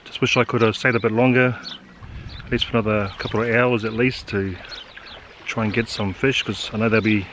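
A man in his forties with a New Zealand accent, muttering a relaxed running commentary while fishing from a harbour beach, recorded on an action camera. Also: fairly low pitch, fairly quick, a little hiss or hum. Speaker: fishing vlogger.

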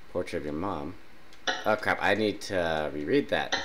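Man, congested, sick, low voice, slow-talking, game commentary, loud game music behind. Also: noisy background. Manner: clears throat often, reads dialogue in a flat voice. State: sick, congested with a sinus infection and sore throat. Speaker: gaming YouTuber. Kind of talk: casual let's-play commentary, reading game text aloud. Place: small dark room at home.

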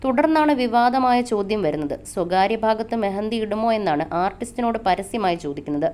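A woman's voice: medium pitch, expressive, brisk, a little mellow. A woman narrating a scripted entertainment news report.

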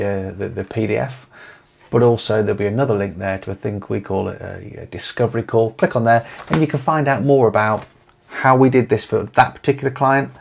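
A man's voice: medium pitch, creaky, a little mellow, slight background noise. A man, talking casually to camera from a home office, rambling with many fillers and restarts while leafing through papers. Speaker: property investment company owner. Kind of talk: casual to-camera video talk. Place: home office with desk and monitors.

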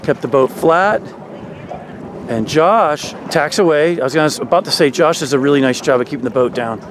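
A man with a slightly high voice coaches a sailing team from a motorboat. He mixes calm explanation with shouted commands to the sailors. Wind noise roars across the camera mic. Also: normal speed. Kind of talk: live on-the-water coaching commentary. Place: outdoors on a motorboat on a lake.

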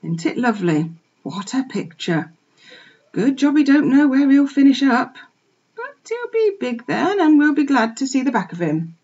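A woman's voice: high-pitched, big pitch swings, normal speed, darkish tone, slightly rough, lo-fi sound, pitched above the performer's own voice, full character voice. A woman voicing a blunt, chatty older farmer's wife. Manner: rustic dialect, cheerful chatter.

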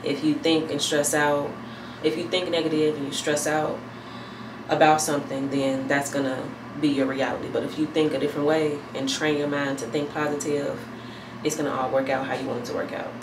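Low voiced pregnant lady vlogging, kinda far from the mic, roomy bathroom echo. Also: a little fast. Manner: relaxed, rambling, thinking aloud. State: heavily pregnant. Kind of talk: casual chat to camera. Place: small bathroom at home, indoors. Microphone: camera mic a few feet away. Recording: echoey room, distant mic, air conditioner hum.

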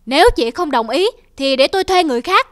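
High-pitched bossy middle-aged mistress, stingy, scolding, cartoon folk tale, music behind. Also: female voice, expressive, fast talker. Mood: impatient, bossy. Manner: scolding, domineering.

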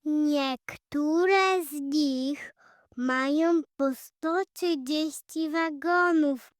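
A woman voicing an eager, chatty little squirrel boy with an excited, childlike manner in a children's audio fairy tale. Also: very high voice, no echo, studio quality, voice pushed up, exaggerated voice. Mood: proud, excited.

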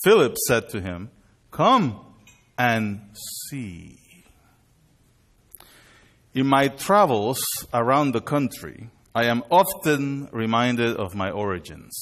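A middle-aged man, born in Chile and raised in Panama, preaching at a lectern in a measured, deliberate way. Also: mid-range voice. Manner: measured, deliberate delivery with bilingual openings. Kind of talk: sermon at a worship conference. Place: indoor hall with a screen. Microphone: lectern mic, far camera.

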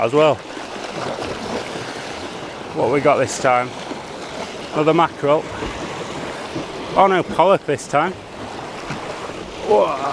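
Young male angler, casual excitable commentary, action-camera audio outdoors with heavy surf and wind noise. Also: on the high side, gravelly. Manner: excitable, laughing, chatty while reeling in fish. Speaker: angler filming his own fishing trip. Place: outdoors on rocky sea shore by waves. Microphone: head-mounted action camera.

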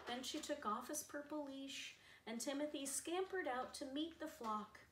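A middle-aged woman reads a children's picture book aloud in an expressive storyteller's manner. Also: sits high, moderate pace. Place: living room, seated on a sofa. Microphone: camera a few metres away. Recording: roomy, distant camera mic, faint noise.

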